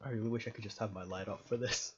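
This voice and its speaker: A man's voice: fairly low pitch, fairly even intonation, racing, dull audio, background noise. A man doing a let's play of a visual novel, reading the text aloud and breaking off with jokey, sweary reactions. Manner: sweary, jokey exclamations between read-aloud passages. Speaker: gaming video host.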